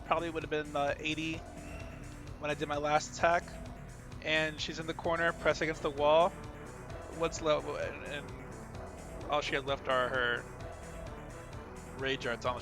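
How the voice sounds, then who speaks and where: Man, somewhat high pitch, casual coaching style, informal gameplay analysis, loud game music behind. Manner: instructive, counts out inputs aloud, informal. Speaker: video creator coaching a fighting game player. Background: loud fighting game music and effects.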